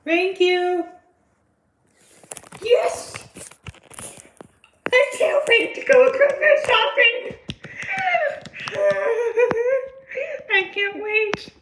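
Excitable teen schoolgirl played by a woman, bratty and bubbly, very high voice, roomy amateur roleplay sound. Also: extremely slow, long pauses, slight background noise, heavily acted. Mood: excited. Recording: roomy, echoing home recording.

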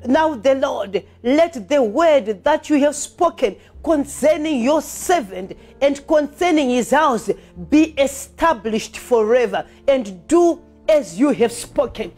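A high-voiced woman preaches a fervent sermon over faint music. She exhorts her listeners with emphatic repetition, moving between scripture and prayer. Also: lively intonation, slow, darkish tone.

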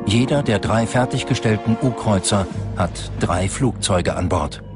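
A man's voice: on the low side, a little slow. A man reading scripted documentary narration as the narrator. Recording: loud music under the voice. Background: music.

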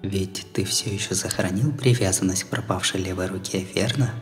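A man voicing a cool, knowing male character in an audiobook, quiet, measured and insinuating. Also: sits low, long reverb tail, pitched below the performer's own voice, lightly acted. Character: cool, knowing male prosthetic keeper in an underground room. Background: music behind the voice.

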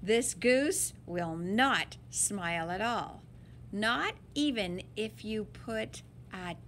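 A woman's voice: high-pitched, fairly bright tone, slight background noise. A woman reading a picture book aloud to children in a dramatic, emphatic and playful way. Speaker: story-time reader.

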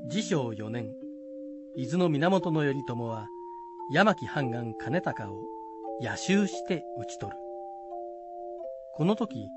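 A man's voice: medium pitch, very animated, slow, pauses often. Male storyteller narrating over music. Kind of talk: scripted storytelling narration of a historical folk tale.

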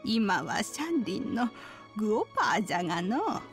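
A woman's voice: mid-range voice, very animated, moderate pace, soft background music, close to an everyday voice. A woman playing a wise, kindly elderly sage, telling legends in archaic, solemn speech for a Japanese video game. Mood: grave, measured.

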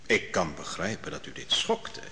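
A probing young prophet-judge. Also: male voice, deep voice, wildly swooping pitch, sharp tone, long reverb tail, slight background noise, heavily acted. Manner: probing, measured. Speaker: interrogator in court. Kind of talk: vintage radio drama acting.

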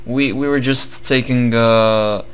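A young man with a Bulgarian accent co-hosting a casual gaming news commentary, chatty and halting with many fillers. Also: mid-range voice, fairly even intonation, clear, resonant tone. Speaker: gaming channel co-host.

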